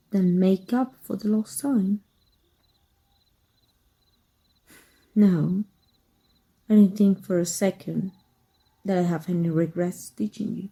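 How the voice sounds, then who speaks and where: A woman playing an exiled evil magic teacher in an ASMR roleplay, wry and fond, speaking softly and intimately with a husky, breathy voice. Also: on the low side, rough recording. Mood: resigned. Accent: Mexican. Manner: wry, unhurried, intimate, addressing a former student.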